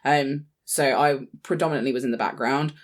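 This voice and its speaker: A woman's voice: a bass voice, fairly quick. A British woman in her thirties talking as a podcast co-host in a casual, informal and self-deprecating way. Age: 30s. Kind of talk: casual chat.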